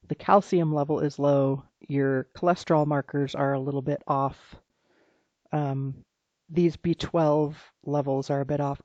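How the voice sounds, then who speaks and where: A slightly hoarse health practitioner walks through a client's lab results one by one, speaking in a halting, informal way and often restarting. The voice is mellow and dark. It sounds dull and muffled, in a dry, close space with no room echo. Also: mid-range voice. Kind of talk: private recorded walkthrough of lab results.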